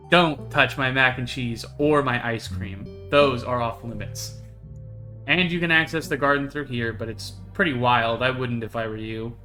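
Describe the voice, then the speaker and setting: Man voicing a curt, haughty country woman, bright edge. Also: mid-range voice, natural voice. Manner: clipped, condescending, dry. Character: curt, haughty, possessive country woman showing a guest around. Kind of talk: reading visual novel dialogue aloud.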